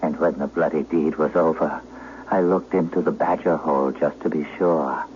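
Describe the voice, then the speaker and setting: An actor playing a frail, dying old shepherd, speaking in a weary, solemn rustic manner in an old radio drama. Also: male voice, very deep voice, steady tone, normal speed, a little mellow. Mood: confessing, failing. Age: elderly. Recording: dull vintage radio, faint hiss.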